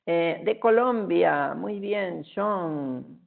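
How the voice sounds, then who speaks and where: A middle-aged Argentine woman speaks in a low, gravelly voice with a Portuguese-tinged accent, relaxed and chatty, filling pauses with "eh." The sound comes through a thin, phone-like video call line. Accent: Argentine Spanish, with Portuguese influence. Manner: relaxed, chatty, many "eh" fillers and restarts. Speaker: guest speaker. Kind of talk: webinar presentation. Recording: tinny phone-like online call audio.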